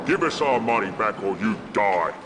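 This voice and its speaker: A man's voice: on the low side, animated, moderate pace, lightly acted. A man voicing a large, enraged bear-like alien thug in an audiobook, making threatening demands. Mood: menacing, enraged. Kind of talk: audiobook character acting. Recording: long cavernous reverb, faint noise.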